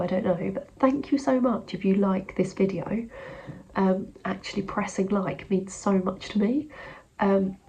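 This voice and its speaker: A young woman with a blocked nose, chatting casually and rambling in a soft voice that often drops near a whisper. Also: medium pitch, gravelly, slight background noise, rough recording. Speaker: vlogger.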